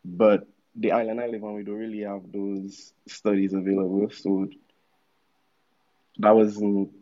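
A man's voice: lowish voice, flat delivery, unhurried, highs cut off. A man in his thirties from Dominica with a Dominican accent, a musician telling his story in a casual, laid-back interview with slangy asides. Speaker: musician guest.

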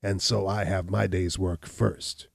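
A man's voice: low-pitched, little pitch movement, measured pace, gravelly, breathy edge, no echo, clean studio sound, full character voice. A man voicing a cruel, mocking hunchbacked landowner in a storybook narration, boastful and defiant in manner.

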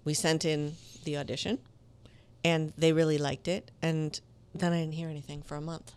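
A woman voice actor with a low, smooth voice that carries some authority, telling stories from her career in an interview and acting out the dialogue. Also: moderate pace. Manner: animated, tells stories with quoted dialogue. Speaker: voice actor guest. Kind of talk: conversational interview storytelling.

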